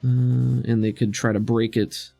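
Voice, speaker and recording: A man's voice: medium pitch, flat delivery, unhurried, vocal fry, dark tone, no echo, soft background music. A man working as game master, narrating and explaining a tabletop game in a casual, conversational way.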